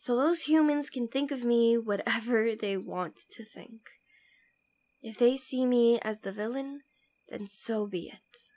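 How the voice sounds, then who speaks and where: A woman's voice: on the high side, a little slow, a little mellow, lightly acted. A woman playing a devoted, teasing demon queen in an ASMR roleplay, speaking intimately and tenderly, often dropping toward a whisper. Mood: tender, defiant. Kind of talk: ASMR roleplay audio drama.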